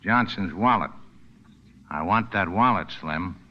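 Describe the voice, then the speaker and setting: A low-voiced, cynical American skipper. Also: male voice, expressive, measured pace, noisy background. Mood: cool, demanding. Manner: clipped, dry. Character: curt, cynical American boat skipper, tough and unsentimental. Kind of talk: 1940s radio acting. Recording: muffled old radio recording.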